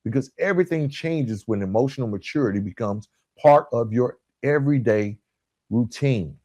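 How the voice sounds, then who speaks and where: A man hosting a motivational podcast, speaking earnestly and emphatically in short, deliberate phrases with repetition. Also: medium pitch, mellow. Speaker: podcast host. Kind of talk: motivational self-improvement podcast monologue.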